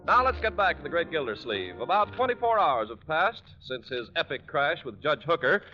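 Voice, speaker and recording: A man's voice: fairly high pitch, big pitch swings, normal speed, pushed and bright, dull audio, faint music behind. A man announcing in a scripted 1940s radio style, selling and narrating. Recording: band-limited 1940s radio recording.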